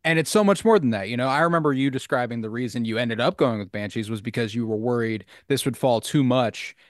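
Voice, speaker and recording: A man's voice: medium pitch, fast, no room sound. Guy gushing about a movie on a podcast, cusses casually, dry sound. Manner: enthusiastic, swears casually. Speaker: podcast co-host. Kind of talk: casual film discussion.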